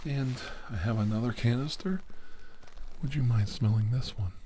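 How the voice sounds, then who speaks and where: A middle-aged man playing a secretive, methodical doctor in an ASMR medical roleplay, speaking softly in a halting, breathy, partly whispered voice. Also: mid-range voice, slight background noise, close to an everyday voice. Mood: quietly probing. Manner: slow, halting, hushed delivery. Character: secretive, methodical male doctor examining a patient. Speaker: ASMR performer.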